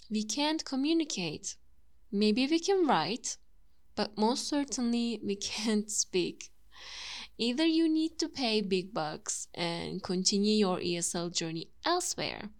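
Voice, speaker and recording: A young woman with a Turkish accent hosting a podcast, telling her own story in a clear, measured way. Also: mid-range voice. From Turkey. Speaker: podcast host. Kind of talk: personal monologue.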